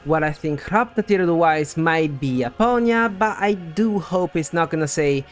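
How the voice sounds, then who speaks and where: A man's voice: on the high side, lively intonation, unhurried. A man presenting scripted game theory commentary in a conversational, explanatory way, as the host of a video essay. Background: background music.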